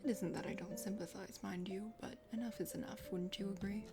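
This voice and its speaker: A woman's voice: mid-range voice, steady tone, a little fast, creaky, voice pushed down, lightly acted. Girl doing a snooty chatty dragon sister, game character, formal whispery asmr talk, music playing behind. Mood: disapproving. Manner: formal, prattling, condescending. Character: prim, chatty, condescending dragon sister of a forest kingdom.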